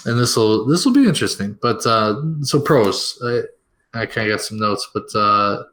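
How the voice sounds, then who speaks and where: A man's voice: on the low side, expressive, normal speed, a bit bright. A man co-hosting a casual film review podcast, rambling with plenty of fillers as he works through his notes. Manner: rambling, many fillers and restarts. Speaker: podcast co-host.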